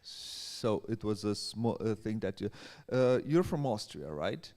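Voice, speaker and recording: A man hosting a live workshop interview at a festival, speaking in a hesitant, halting way. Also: lowish voice, big pitch swings, a little mellow. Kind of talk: live event introduction and interview. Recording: echoey hall with long reverb.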